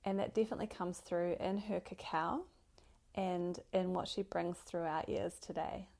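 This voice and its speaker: A woman podcast host with a gravelly, slightly hoarse voice after nearly losing it, talking casually and effusively as she introduces things. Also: lowish voice, steady tone, normal speed, darkish tone.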